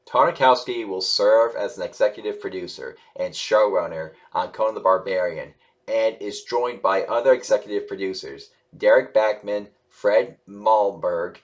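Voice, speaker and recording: A man hosting a casual news commentary video, reading aloud and reacting in a halting, rambling, self-correcting, somewhat apologetic way. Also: fairly low pitch. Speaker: YouTube host reviewing animation news.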